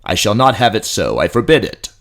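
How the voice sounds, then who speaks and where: A man's voice: lowish voice, big pitch swings, a little fast, fairly bright tone. A man voicing a pompous, commanding emperor with a short temper in a fantasy audiobook drama. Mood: cold, imperious.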